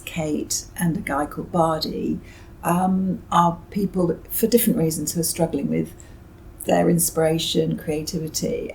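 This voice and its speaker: A woman's voice: low-pitched, gravelly, darkish tone, a little hiss or hum, poor audio. A middle-aged or older British woman giving thoughtful, somewhat hesitant interview answers as a novelist.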